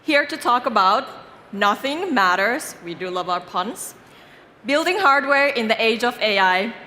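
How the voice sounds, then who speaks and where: A woman delivers a scripted introduction as event host. Her voice is somewhat high and bright, ringing out in a big reverberant venue. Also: animated, unhurried. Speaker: stage host. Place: event stage in a large venue. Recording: echoey large hall, noisy.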